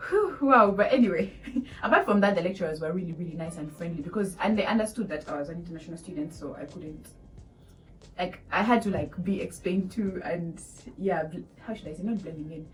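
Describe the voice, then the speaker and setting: A young woman in her twenties with a Ugandan accent, telling a casual storytime to viewers, animated and laughing often. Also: fairly low pitch, recorded from a distance, slight background noise, poor audio. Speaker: vlogger. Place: small room indoors.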